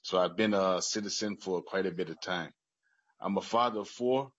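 A middle-aged American man speaks on the lower side with a husky edge. He gives personal testimony earnestly, stopping and repeating words. The sound is dulled, like a video call. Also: normal speed, a bit bright. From Chicago, United States. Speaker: public witness at a legislative hearing. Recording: muffled, echoey video call.

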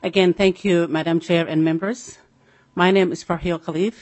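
A middle-aged woman with a Somali accent giving earnest, halting public testimony, repeating phrases for emphasis. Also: on the low side, fairly even intonation, darkish tone, no room sound. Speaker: farmer testifying at a legislative committee.

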